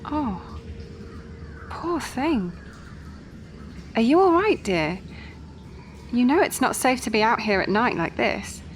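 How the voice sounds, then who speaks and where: A woman playing a kind, pious working woman in a Victorian audio drama, speaking gently, earnestly and properly. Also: fairly high pitch, expressive, slow, long pauses, a little hiss or hum. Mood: weary, concerned.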